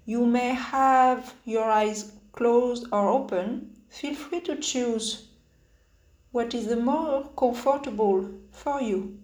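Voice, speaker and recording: Woman meditation guide, very unhurried pace, halting phrases, long pauses, roomy echo. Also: fairly high pitch, a little mellow. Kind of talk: guided meditation, gentle spoken instructions. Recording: roomy, echoing sound.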